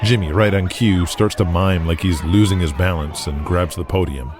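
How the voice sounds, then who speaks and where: A man's voice: sits low, steady tone, normal speed, music in the background. A man narrating an audio drama from a script, describing each scene in the present tense.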